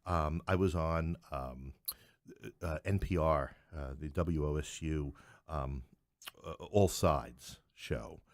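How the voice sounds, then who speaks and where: A man's voice: a bass voice, slow, no room sound, clean studio sound. A middle-aged man from New York City talking as a playwright guest in a conversational interview, with wry joking asides.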